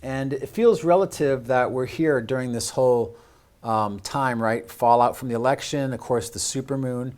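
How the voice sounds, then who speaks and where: A man's voice: medium pitch, moderate pace, a little mellow. An American man hosting a casual studio interview, talking in a rambling, hesitant way. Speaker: show host. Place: live studio.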